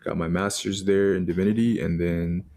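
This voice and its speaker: A man's voice: low voice, steady tone, moderate pace, a little mellow. A man from Texas, a pastor, introducing himself casually on a podcast in an upbeat, joking way.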